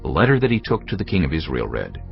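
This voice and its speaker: A man with an extremely deep voice narrates scripture. His delivery is solemn yet widely varied, like dramatic storytelling, in a slightly muffled recording with soft music underneath. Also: huge pitch swings, quick pace, gravelly. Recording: muffled audio with music behind.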